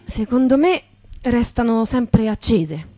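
High-voiced pensive woman storyteller. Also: lively intonation, slow pace, warm tone, dry recording. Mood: pensive wonder. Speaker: stage actress. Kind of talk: poetic theatre dialogue and dramatic narration. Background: music.

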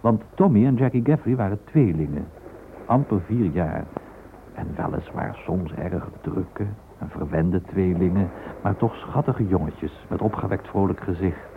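An actor playing a florid, sentimental storyteller, narrating a radio play in a theatrical, flowery way. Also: male voice, deep voice, expressive. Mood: fond, indulgent. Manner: theatrical, flowery, deliberate phrasing. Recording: noisy, tinny old radio recording.

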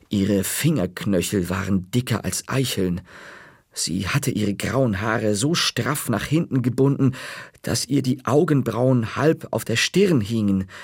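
A man narrating a children's story in a measured, dry-humored way. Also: on the low side, husky, no room sound.

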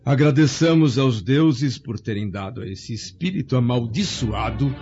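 A man's voice: medium pitch, lively intonation, normal speed, a little mellow, slightly rough. An actor playing an elderly Roman senator in a radio drama, fatherly and solemn, with formal, grandiloquent delivery. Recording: dull lo-fi radio drama, music behind.

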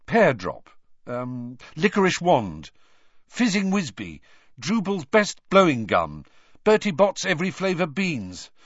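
A man's voice: a little high, huge pitch swings, a little slow, slightly rough, dry recording, highs cut off, voice pushed up, exaggerated voice. A man voicing an impatient, hot-tempered teenage schoolboy in an audiobook. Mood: exasperated, urgent. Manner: exclamatory, exasperated delivery. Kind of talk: audiobook character acting.

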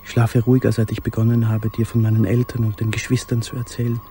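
An actor playing a sorrowful young letter writer in a radio drama, measured, earnest and intimate. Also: male voice, mid-range voice, completely flat delivery, dark tone, soft background music, natural voice.

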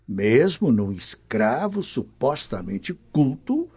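An actor with a Brazilian accent playing a stern, distrustful old Roman husband in a radio drama, haughty and grumbling. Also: male voice, medium pitch, sing-song, slow pace, darkish tone, husky, heavily acted. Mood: disapproving, suspicious. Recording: dull lo-fi radio drama recording.

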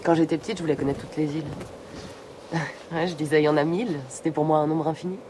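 An actress playing a wistful, encouraging polar researcher in a radio drama, speaking in reflective, short phrases. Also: female voice, sits low, fairly even intonation, fast, background noise, lightly acted. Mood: wistful, reminiscing. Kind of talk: naturalistic French radio drama acting.

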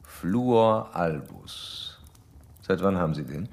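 An actor playing a self-assured, insistent psychoanalyst in a German radio drama, questioning with pedantic, learned formality. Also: male voice, deep voice, very animated, slow, gravelly, a little mellow, exaggerated voice. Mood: probing, insistent. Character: probing, self-assured male doctor psychoanalysing a young woman.

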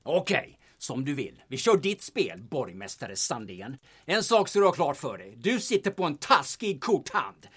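A man's voice: fairly high pitch, sing-song, a bit bright, breathy edge, heavily acted. En man som spelar en fräck, hotfull utpressare i ett ljuddrama, retsam men kontrollerad. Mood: cool, taunting. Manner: taunting, threatening, controlled. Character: menacing, insolent blackmailer from Stockholm, coolly bargaining. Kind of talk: audio drama acting.

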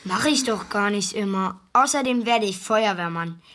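A woman's voice: medium pitch, expressive, normal speed, fairly bright tone, natural voice. A woman playing a clumsy, stubborn schoolboy in a children's radio play, defensive and apologetic. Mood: defiant. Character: clumsy, stubborn, dreamy schoolboy superhero fan.

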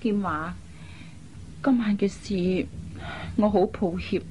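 An actress playing a considerate, demure young elder sister in a Cantonese radio drama, speaking in a gentle, earnest way. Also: female voice, medium pitch, slow pace, background noise, close to an everyday voice. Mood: apologetic. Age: young adult.

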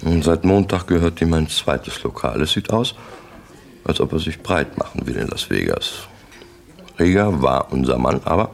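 An actor playing a ruthless, businesslike crime syndicate boss in a 1960s German radio play, imperious and lecturing in clipped, matter-of-fact statements. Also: male voice, a bass voice, creaky, exaggerated voice.

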